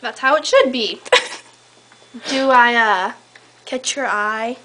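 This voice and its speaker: High-voiced young woman teasing on a call. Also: slow pace, bright, forceful tone. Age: young adult. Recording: noisy amateur home camera recording.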